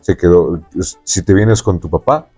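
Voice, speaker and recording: A man's voice: low voice, measured pace, darkish tone, breathy edge, soft background music. A man with a Mexican accent hosting a conversational interview, talking in a rambling way and often checking in with tag questions. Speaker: podcast host interviewing a guest.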